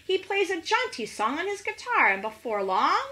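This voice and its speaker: Animated, bright-voiced middle-aged woman reading aloud. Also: very high voice. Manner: expressive, pausing to ask listeners questions. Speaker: teacher reading to children. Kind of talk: animated picture-book read-aloud for young children. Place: small living room at home, indoors.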